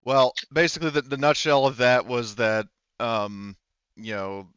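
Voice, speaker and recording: A man casually narrating and explaining a tabletop game as game master, haltingly thinking aloud. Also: mid-range voice, steady tone, fairly bright tone, smooth, no room sound, muffled recording.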